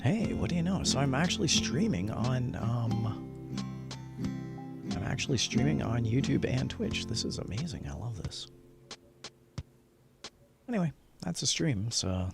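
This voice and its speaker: A man's voice: mid-range voice, very animated, unhurried, creaky, long pauses, over loud music. A man hosting a casual gaming livestream, chatty and upbeat, often muttering to himself as he sets things up. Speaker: livestreamer and host. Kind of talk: casual gaming livestream chat while setting up.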